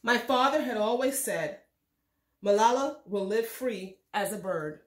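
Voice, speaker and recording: Woman, higher-pitched voice, children's read-aloud, expressive storybook narration, measured phrasing, echoey room. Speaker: read-aloud host reading a children's book.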